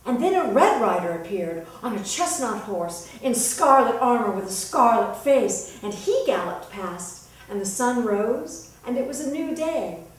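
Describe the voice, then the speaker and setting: A woman storyteller narrating a folktale dramatically, with suspenseful, deliberate phrasing. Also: medium pitch. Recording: very echoey room, distant mic.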